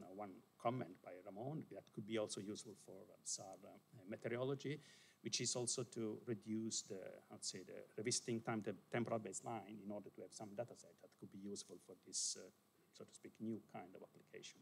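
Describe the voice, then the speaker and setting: Halting low-voiced man with gravelly tone. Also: slight background noise. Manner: halting, with many "uh" hesitations and restarts. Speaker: session rapporteur. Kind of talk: conference summary remarks. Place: conference hall.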